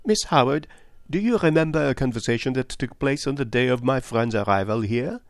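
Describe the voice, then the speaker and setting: A man voicing a shrewd, calm and courteous detective in an audiobook, with a measured, probing manner. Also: mid-range voice, no echo, clean studio sound, voice pushed up, close to an everyday voice. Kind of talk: audiobook character voice.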